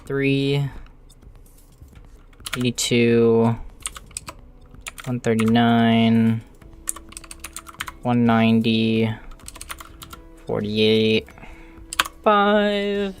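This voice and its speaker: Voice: mid-range voice, very slow, pauses often, slight background noise. A streamer talking casually while working at a computer, often muttering thoughts aloud as if to themselves. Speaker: live streamer modding a video game.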